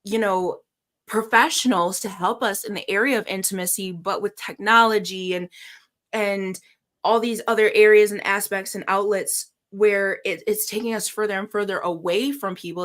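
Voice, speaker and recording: A woman's voice: mid-range voice, a bit bright. A woman hosting a podcast interview, chatting casually in an agreeable, affirming manner. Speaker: podcast host.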